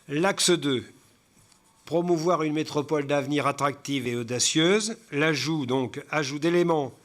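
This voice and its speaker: A man giving a formal report as a local councillor, reading out points haltingly, stumbling and repeating words as he goes. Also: a little high, a bit bright. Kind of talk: formal presentation read from slides with spoken asides.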